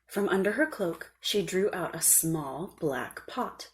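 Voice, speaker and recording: Woman narrating a children's story. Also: fairly low pitch. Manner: measured, expressive.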